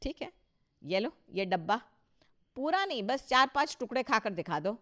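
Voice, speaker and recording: A woman's voice: a little high, sing-song, normal speed, fairly bright tone, voice pushed up. Woman acting bossy, hot-tempered mother-in-law, raised voice. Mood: indignant. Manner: scolding, emphatic.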